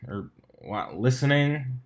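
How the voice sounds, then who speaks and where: A man's voice: mid-range voice, animated, takes it slowly. A man narrating fan fiction in a storybook reading style.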